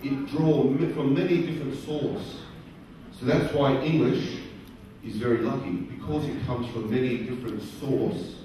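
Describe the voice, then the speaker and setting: A middle-aged man speaking to a live audience at a career event, using slow, simple, repeated phrasing and asking the crowd questions. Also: mid-range voice, steady tone. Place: large conference hall. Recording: very echoey hall, distant voice, faint noise.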